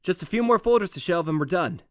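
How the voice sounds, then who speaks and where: A man's voice: sits high, animated, quick pace, no echo, clean studio sound. Man playing an upbeat, encouraging young guy. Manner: enthusiastic, encouraging. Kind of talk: fan audio drama acting.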